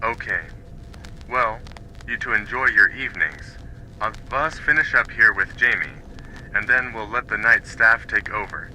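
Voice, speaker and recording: Guy playing no-nonsense boss in an audio drama, lowish forceful voice, faint noise behind. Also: measured pace, rough recording. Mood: businesslike. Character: businesslike male control room boss showing newcomer around.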